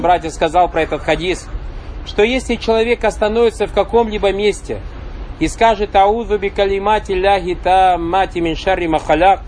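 A man with a fairly high voice teaches a religious lesson in a calm, measured way. He explains each point patiently, pauses for rhetorical questions to his listeners, and recites short phrases. A faint hum of noise sits behind him. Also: low-quality recording. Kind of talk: religious lecture.

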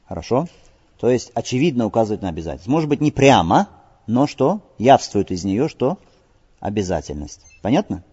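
A man's voice: lowish voice, warm tone, husky. A man giving an Islamic lesson as a teacher, explaining didactically with rhetorical questions and Arabic terms mixed into his Russian. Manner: didactic, asks rhetorical questions and answers them. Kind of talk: religious lesson, explaining hadith line by line. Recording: dull lo-fi audio in reverberant hall.

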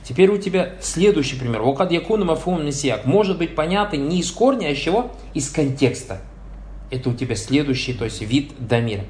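A man's voice: a little high, very animated, a little fast, a little mellow, slight background noise. A man teaching Arabic grammar, reading lines from a text, translating them and asking his listeners short check questions as he goes. He drops blessing formulas between points and corrects his own slips.

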